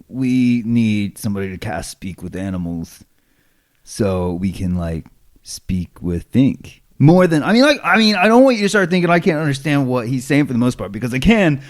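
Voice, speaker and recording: A man's voice: medium pitch, animated, moderate pace. A man playing a tabletop game, chatting in a hesitant, giggly way. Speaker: player.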